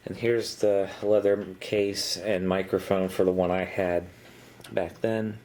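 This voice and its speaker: Male hobbyist presenter, low-pitched, halting and matter-of-fact, casual narration over noticeable background noise. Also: little pitch movement, darkish tone. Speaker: vintage electronics hobbyist presenter. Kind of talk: casual unscripted show-and-tell narration.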